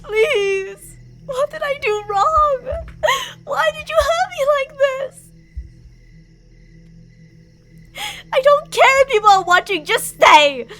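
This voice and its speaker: A woman's voice: extremely high pitch, animated, takes it slowly, a bit bright, faint music behind, full character voice. A woman playing an obsessive, delusional young female stalker and former bully in an ASMR roleplay, clingy and emotionally volatile. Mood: desperate, sobbing. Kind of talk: ASMR roleplay, dramatic monologue to listener.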